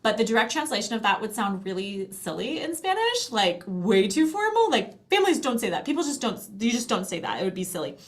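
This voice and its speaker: A woman's voice: on the high side, very animated, a little fast, hardly any pauses. A middle-aged American woman explaining a language program in a casual, chatty and encouraging way. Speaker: language program presenter and guest. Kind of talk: casual explanatory product demonstration.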